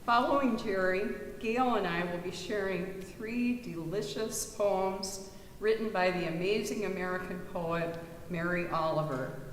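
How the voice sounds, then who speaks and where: A woman presenting and reading poetry aloud to an audience, with expressive, measured delivery. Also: medium pitch. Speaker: readers theater presenter and poetry reader. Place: reverberant church, live reading. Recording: roomy church hall, clear background noise.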